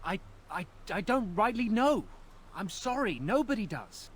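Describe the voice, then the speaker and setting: A high-pitched, frightened village man, as a man puts him on, stammering and jittery. The voice sits well above the performer's usual one, with a faint hiss behind it. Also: sing-song, normal speed. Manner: stammering, fearful.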